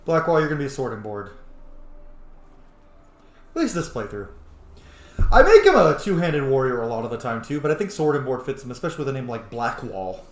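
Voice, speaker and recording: Guy doing a casual let's play, playful and sweary, mid pitched voice, really echoey room. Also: sing-song, fairly quick, long pauses. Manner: playful, informal, swearing, muttering to self. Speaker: gaming video host. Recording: echoey room with faint noise.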